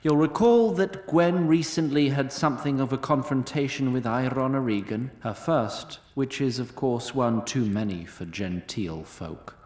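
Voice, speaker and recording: Male narrator, wry scripted storytelling, medium pitch, echoey. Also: measured pace, few pauses, darkish tone.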